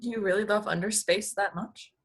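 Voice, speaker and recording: A woman's voice: mid-range voice, fairly even intonation, brisk, breathy edge, lightly acted. A woman reading the part of an earnest female space agent at a comedy script table read.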